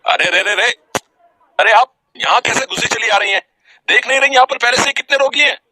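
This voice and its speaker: Man as scolding ward boy, storybook figure, curt, bossy, piercingly bright, high-pitched. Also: a little fast, full character voice, poor audio. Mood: irritated scolding. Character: brusque, scolding male hospital ward boy.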